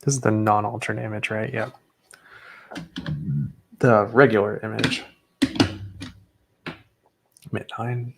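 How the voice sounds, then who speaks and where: A man's voice: moderate pace, gravelly, slight background noise. A young man casually commenting as he unboxes graded trading cards, understated and matter-of-fact. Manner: low-key and understated, self-described as not excitable.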